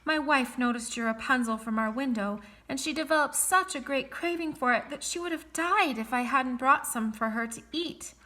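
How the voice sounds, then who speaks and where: A woman's voice: high-pitched, moderate pace, few pauses, sharp tone. A woman voicing a frightened peasant husband who pleads anxiously. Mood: pleading.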